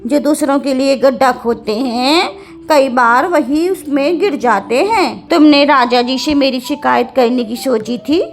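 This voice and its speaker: A woman's voice: sits high, unhurried, soft background music. A woman voicing a clever, easily irritated old village woman in a cartoon, with a plaintive, scolding manner. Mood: smug lecturing. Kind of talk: animated cartoon story acting.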